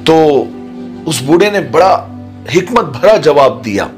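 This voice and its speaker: A hoarse-voiced man telling a religious story to his online viewers, greeting them and speaking slowly and deliberately. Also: on the high side, animated, faint music behind. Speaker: Islamic storyteller and preacher.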